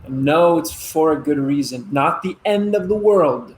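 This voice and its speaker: Earnest young military son, played by an actor, noisy recording. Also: male voice, on the high side, lively intonation. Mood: earnest, proud. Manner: earnest, explanatory, with dramatic pauses. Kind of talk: conversational stage-play dialogue.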